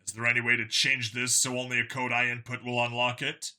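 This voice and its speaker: A man's voice: medium pitch, level tone, brisk, sharp tone. A man playing a hard, angry human cop in an audio sci-fi story, blunt and demanding. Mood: stern, demanding.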